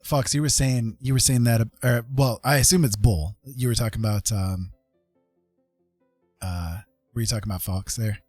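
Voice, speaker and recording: An American man hosting a casual livestream chat in a halting way, often repeating his words. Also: mid-range voice, darkish tone, dry recording, faint music behind. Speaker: livestream host.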